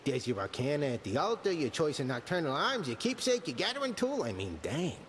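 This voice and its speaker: A man's voice: fairly high pitch, sing-song, fairly quick. A man playing a theatrical mentor spirit in a video game, archaic and grandiose with a playful streak. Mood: grand, playful. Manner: archaic, grandiose, then flippant. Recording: long reverb, noisy game ambience.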